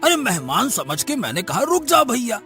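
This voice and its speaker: A man's voice: extremely high pitch, huge pitch swings, moderate pace, bright, forceful tone, soft background music, heavily acted. A man playing an indignant, wily villager who protests his innocence in a whining sing-song in an audio story. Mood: indignant pleading.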